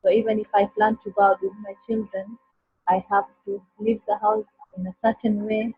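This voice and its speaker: Husky-voiced mother on a video call. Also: medium pitch, little pitch movement, fairly quick, no echo. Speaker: course participant. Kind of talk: sharing on a group call. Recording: dull dry call audio, faint music behind.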